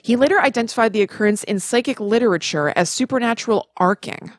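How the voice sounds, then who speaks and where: A woman's voice: a little high, fast talker, dry recording. A woman co-hosting a podcast, chatting casually as she explains what she has researched in a conversational way. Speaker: podcast co-host. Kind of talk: casual chat, retelling research.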